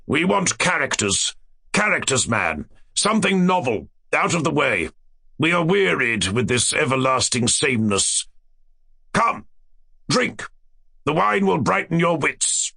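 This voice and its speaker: Raspy, blustering tyrant king. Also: a little high, big pitch swings, unhurried, pitched above the performer's own voice, full character voice. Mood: impatient, commanding. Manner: imperious, blustering, shouting. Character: tyrannical, boisterous king, cruel joker. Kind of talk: dramatic reading of a classic story.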